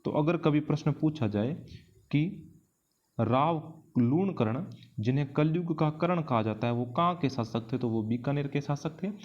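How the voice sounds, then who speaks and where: A man's voice: fairly high pitch, moderate pace, darkish tone, dull audio. A man with an Indian accent teaching an exam-prep lesson in question-and-answer form, didactically repeating key phrases for emphasis. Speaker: history teacher.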